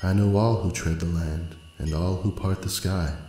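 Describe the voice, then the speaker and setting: A man voicing an ethereal, serene magical pool spirit in a storybook, with a soft crystalline voice and solemn, formal manner. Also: low voice, steady tone, normal speed, echoey room, a little hiss or hum, close to an everyday voice. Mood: solemn, mystical.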